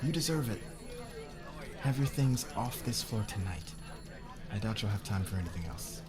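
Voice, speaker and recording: A man acting as a demanding, controlling boss in an audio roleplay, speaking with measured, commanding formality. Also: lowish voice, expressive, crowd noise, lightly acted. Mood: cool, commanding.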